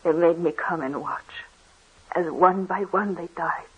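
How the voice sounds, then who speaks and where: A woman's voice: lowish voice, a little slow, breathy edge. An actress playing a frail, grieving young French aristocrat woman in an old-time radio drama. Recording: dull lo-fi old radio recording.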